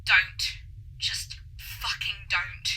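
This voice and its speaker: A woman playing a tense, brisk astronaut in a science fiction audio drama, clipped and matter-of-fact. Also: mid-range voice, fairly even intonation, very noisy. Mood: frustrated. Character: tense, brisk female astronaut working on drilling equipment.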